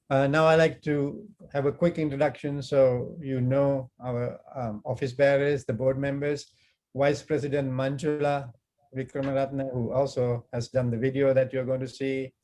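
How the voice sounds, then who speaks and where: A man's voice: mid-range voice, little pitch movement, normal speed, a little mellow. A man with a Sri Lankan accent informally moderating a webinar and introducing board members on a video call.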